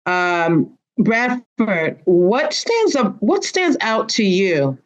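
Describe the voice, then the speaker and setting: A woman's voice: mid-range voice, very animated, drawn-out words, highs cut off. A woman hosting a casual panel chat, prodding her guests in a teasing way and repeating her phrases. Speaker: host of a panel discussion.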